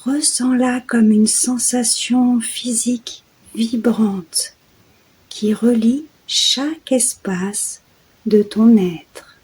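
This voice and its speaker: A woman leading a guided sleep meditation in a soft, soothing, hushed voice that often drops toward a whisper. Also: on the high side, very slow, slightly rough, rough recording. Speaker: guided meditation host and yoga nidra guide.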